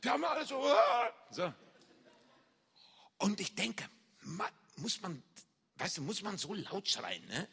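A man preaching in a big reverberant hall, telling a personal story in slow, deliberate German with slips of a non-native speaker. His voice is bright and a bit husky, his pitch sits slightly high, and his intonation swings widely as he acts out the scenes. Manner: animated, acts out shouts and reactions. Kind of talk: sermon testimony, storytelling.

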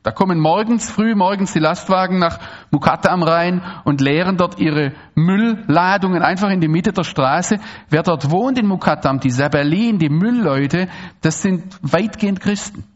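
A man addresses an audience in a lively, rambling way, circling back and restarting his sentences. His voice sits a little high for a man. The recording is dull and lo-fi. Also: moderate pace. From Swabia, Germany. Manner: animated, digressive, frequent restarts and asides. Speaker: speaker giving a public talk. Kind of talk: lecture to an audience.

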